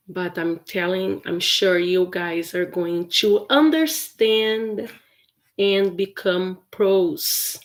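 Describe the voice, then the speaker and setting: A woman from Brazil whose first language is Portuguese, teaching English in a chatty live stream with many fillers and restarts. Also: medium pitch. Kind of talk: casual livestream lesson.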